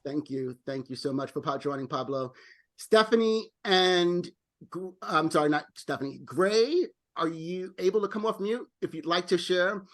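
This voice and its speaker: A man's voice: high voice, normal speed, fairly bright tone. A man hosting an online club meeting as its president, friendly and often repeating words as he talks. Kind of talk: casual online meeting chat. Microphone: video call.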